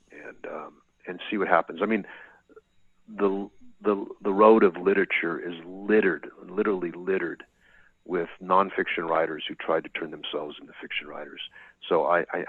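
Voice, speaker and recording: A man's voice: low-pitched, slightly rough, dry recording. A middle-aged man from California, an author answering interview questions thoughtfully, often repeating words and restarting his sentences. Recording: dry tinny video-call audio.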